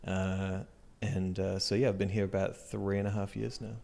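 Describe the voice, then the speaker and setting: An Australian man with a low-set voice talks through his plans as a guest on an interview show. He is earnest and reflective, and his sentences fade out softly at the ends. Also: flat delivery, normal speed, creaky. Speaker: guest, music educator. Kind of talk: casual interview talk.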